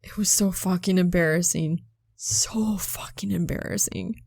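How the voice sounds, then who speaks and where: A woman voicing an embarrassed young male college student in a halting, rambling, gruff way for a story reading. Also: on the low side, a little mellow, dry recording, studio quality. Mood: agitated, embarrassed.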